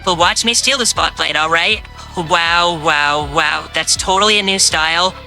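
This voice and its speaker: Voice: mid-range voice, a little slow, bright, forceful tone, soft background music. A performer using an AI voice changer to voice a sassy, teasing demon diva who loves the spotlight.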